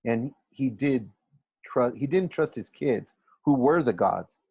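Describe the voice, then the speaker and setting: A man reading a storybook as an earnest, quick-tempered schoolboy, unsure and questioning. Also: mid-range voice, lively intonation, creaky, mellow, husky, no room sound, highs cut off, voice pushed up, full character voice. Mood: unsure, explaining. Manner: hesitant, questioning.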